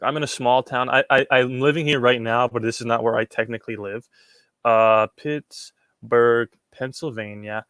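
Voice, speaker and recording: A man's voice: mid-range voice, a bit bright, dry recording. Rambling young man on a livestream. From Puerto Rico. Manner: rambling, repeats words, frequent ums. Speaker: livestream host answering chat. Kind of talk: casual livestream chat.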